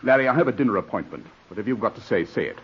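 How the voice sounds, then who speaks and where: Stern, imperious gentleman, husky vintage radio. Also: lowish voice, animated, very fast. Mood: impatient. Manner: brusque, commanding, interrogating. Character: stern, cold, imperious Edwardian English barrister, older brother. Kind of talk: 1940s radio drama acting. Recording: dull vintage 1940s radio recording.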